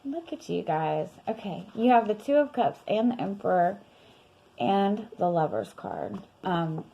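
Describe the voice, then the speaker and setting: A young woman reading tarot in a casual, rambling way, her voice worn and going hoarse, with many fillers and soft laughs. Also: medium pitch, big pitch swings, a little slow, lo-fi sound. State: losing her voice. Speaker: tarot reader.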